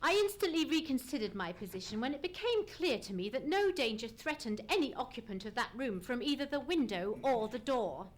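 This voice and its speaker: A woman's voice: a little high, big pitch swings, a little fast, hardly any pauses. A woman acting a self-assured detective in a live old-time radio play, speaking in a precise, formal, theatrical way. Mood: confidently explaining.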